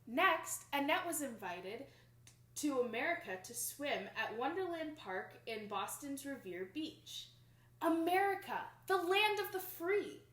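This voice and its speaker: A young adult woman reading a picture book aloud to children in an expressive, emphatic storytelling manner. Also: sits high, unhurried. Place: small plain indoor room. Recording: roomy indoor echo.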